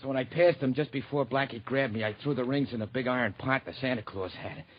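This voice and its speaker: A man's voice: medium pitch, quick pace, lightly acted. A man doing a jittery small-time crook. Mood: nervous. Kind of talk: 1940s radio acting. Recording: muffled old radio recording with hiss.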